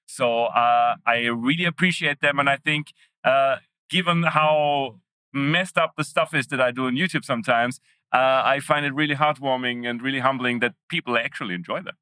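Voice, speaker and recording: Man chatting, bright and stammering. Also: mid-range voice, moderate pace, smooth, no room sound. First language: Austrian German. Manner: stammering restarts, self-deprecating humor. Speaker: guest. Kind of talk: casual conversation.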